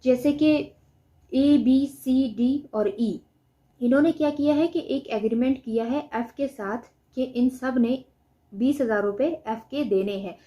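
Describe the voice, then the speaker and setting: A woman's voice: high-pitched, measured pace, warm tone. A woman with a Pakistani accent giving an explanatory lecture, reading out notes and explaining them in a didactic way, often posing questions and answering them. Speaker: law lecturer. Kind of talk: explanatory lecture mixing read-out notes with examples.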